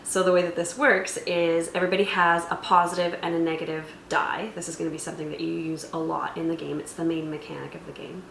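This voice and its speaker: A woman's voice: on the low side, flat delivery, quick pace, creaky, hardly any pauses, fairly bright tone. Woman explaining game rules, echoey room. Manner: methodical, step-by-step. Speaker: tabletop roleplaying host explaining rules. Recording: echoey room with faint background noise.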